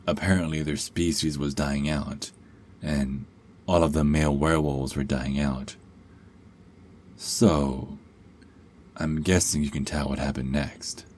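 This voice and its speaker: A man's voice: rumbling low, a little mellow, a little hiss or hum. A man playing a cocky, sarcastic young adult werewolf held captive, in an ASMR roleplay with a taunting, sardonic drawl.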